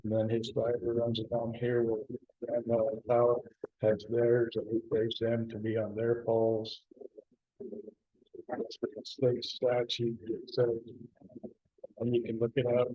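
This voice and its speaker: Slow flat-talking guy at a town board meeting, lots of restarts, muffled lo-fi sound. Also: mid-range voice. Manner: halting, full of restarts. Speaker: presiding board trustee. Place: town hall meeting room. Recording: dull lo-fi meeting recording.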